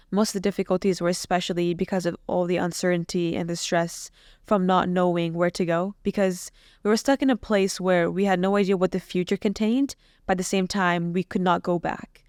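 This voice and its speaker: Young woman guest from Iran, smooth clear voice, dry studio sound, earnest and reflective delivery. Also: lowish voice, a little mellow, no room sound. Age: young adult. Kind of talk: podcast interview. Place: small podcast studio. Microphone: boom mic on stand.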